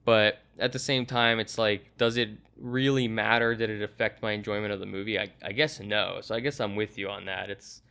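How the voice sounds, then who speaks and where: A man's voice: fairly low pitch, fairly even intonation, fairly quick, vocal fry, hardly any pauses, bright, forceful tone, clean tone. A man hosting a casual, agreeable movie review conversation, talking in a conversational way.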